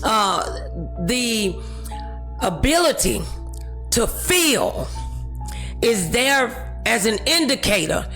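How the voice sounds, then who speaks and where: A woman's voice: on the high side, very animated, very slow, pauses often, fairly bright tone, soft background music, rough recording. A woman hosting a talk show, preaching encouragement to her audience in an emphatic, exhorting manner with scripture.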